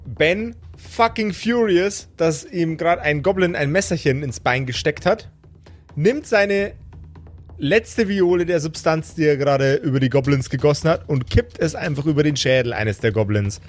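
Male game master, fairly high voice, dramatic storyteller with casual asides, music underneath. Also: expressive, normal speed. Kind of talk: live improvised tabletop game narration. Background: background music.

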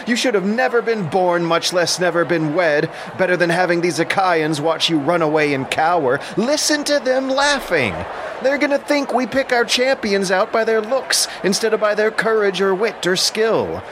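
Guy doing a contemptuous trojan warrior, voice pushed up high, berating, epic audio drama, noise behind. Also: close to an everyday voice. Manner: contemptuous, scolding. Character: Hector, scornful Trojan warrior prince berating his brother.

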